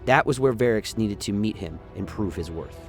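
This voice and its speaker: A man's voice: fairly low pitch, lively intonation, moderate pace, soft background music. A man narrating a science-fiction radio play in a dramatic, scripted storytelling style. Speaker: narrator, lore reader.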